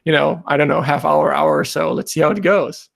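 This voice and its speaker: A man's voice: on the high side, fairly even intonation, fairly quick, gravelly, a bit bright. A young man from Germany, a native German speaker, hosting a casual podcast chat over a remote call. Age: young adult. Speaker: podcast host. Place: indoor room, remote video call.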